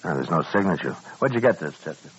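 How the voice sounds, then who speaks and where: A middle-aged American frontier marshal in a 1950s radio western. The voice sits very low, and the delivery is laconic, calm and dryly humorous. The old recording is muffled. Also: male voice, fast talker, husky, full character voice. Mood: businesslike. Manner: laconic, wry, understated.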